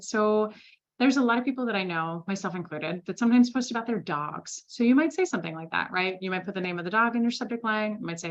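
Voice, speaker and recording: Brief: woman sales trainer, lively conversational delivery, mid pitch, slightly muffled audio. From Switzerland. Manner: lively, conversational, with frequent "right?" tags. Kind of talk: presenting a masterclass.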